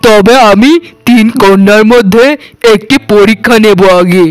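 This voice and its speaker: A man's voice: high voice, steady tone, unhurried, clear, resonant tone, no echo, faint music behind. A man voicing a worried, authoritative landlord and father in a cartoon, solemn and commanding. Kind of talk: cartoon voice acting.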